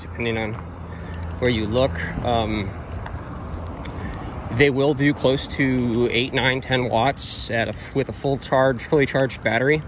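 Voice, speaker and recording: An American man gives a casual, rambling product demonstration at an ordinary middle pitch. He hesitates and corrects himself as he goes. The recording is clearly noisy, made outdoors beside a road. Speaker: radio hobbyist presenter. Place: outdoors on a roadside sidewalk.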